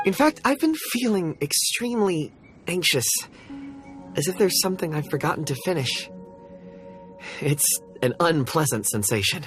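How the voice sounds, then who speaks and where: A man's voice: a little high, very animated, moderate pace, a bit bright, soft background music. A man playing a polite, anxious, absent-minded wanderer in a video game, speaking hesitantly and apologetically. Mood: apologetic, uneasy. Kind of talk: video game character acting.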